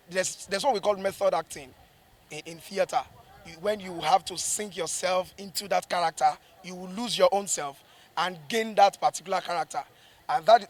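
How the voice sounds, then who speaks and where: A man with a Nigerian accent, a stage actor giving a reflective interview, emphatic and repeating words for effect. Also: high-pitched.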